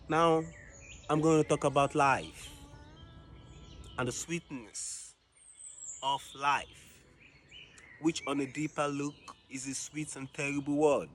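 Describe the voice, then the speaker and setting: A man performing a reflective, dramatic spoken-word monologue in a solemn, deliberate manner with long pauses. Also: mid-range voice, fairly bright tone, a little hiss or hum.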